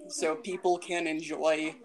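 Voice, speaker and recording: A woman's voice: low-pitched, little pitch movement, measured pace. A woman hosting a podcast in casual, unscripted banter, giggly and prone to swearing. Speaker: podcast host.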